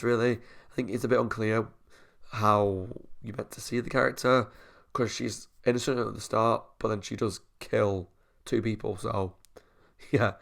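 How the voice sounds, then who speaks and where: A man's voice: mid-range voice, creaky. A man, a YouTube reviewer talking through his opinions in a casual monologue, thinking aloud as he weighs them.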